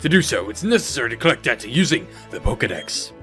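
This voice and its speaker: A man's voice: medium pitch, very animated, quick pace, sharp tone, soft background music, voice pushed down. A man voicing a stern older professor from a video game, formal and matter-of-fact. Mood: stern, businesslike. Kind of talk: video game character reel.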